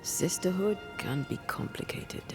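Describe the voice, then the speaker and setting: Actress doing a guarded woman ghost hunter, video game drama, talks slow n hushed, bit of fry, music under it. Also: lowish voice, lightly acted. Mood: troubled, tender.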